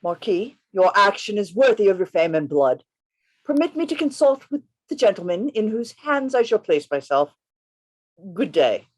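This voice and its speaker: A bold gentleman adventurer played by a woman tells his tale as in a radio play. The delivery is theatrical and emphatic, with a very lively pitch that swings around a middle register. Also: normal speed, rough recording. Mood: resolute. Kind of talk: radio play reading, first-person narration. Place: remote reading over a video call.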